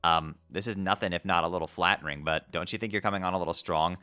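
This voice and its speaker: A man voicing a mopey, self-pitying teenage troll boy who talks low and sulky. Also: steady tone, fast, bright, forceful tone, soft background music.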